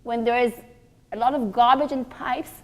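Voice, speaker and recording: Female religious teacher, higher voice, deliberate and emphatic lecture delivery with pauses between short phrases. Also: fairly even intonation. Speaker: religious teacher giving a class for women. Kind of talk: religious lecture with rhetorical questions.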